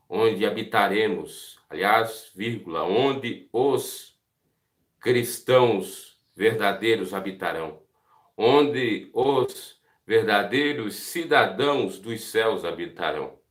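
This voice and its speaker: A man's voice: fairly low pitch, a bit bright, reverberant. A man with a Brazilian Portuguese accent giving a devotional Bible teaching, earnest and putting direct questions to his listeners. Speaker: preacher.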